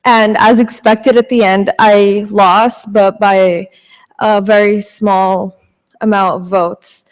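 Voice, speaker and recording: A teenage student speaks on an auditorium stage, hesitant, with frequent ums and pauses. Her voice sits at an ordinary pitch and rings with a long hall reverb. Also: flat delivery. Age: teens. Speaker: student panelist. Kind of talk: personal story on stage.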